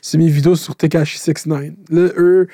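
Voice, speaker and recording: Homme québécois, voix douce et feutrée. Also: male voice, on the high side, level tone, normal speed, warm tone, no echo, studio quality. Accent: Québécois French. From Quebec. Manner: laid-back, slangy. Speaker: YouTuber, podcast co-host. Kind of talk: casual chat.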